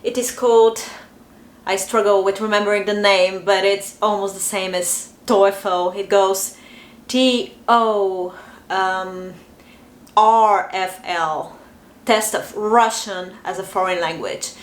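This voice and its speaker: A young adult woman with a Bulgarian accent, talking casually to camera and pausing often with fillers. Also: mid-range voice, steady tone. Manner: chatty, hesitant, many fillers. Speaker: vlogger. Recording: echoey room, faint ambience.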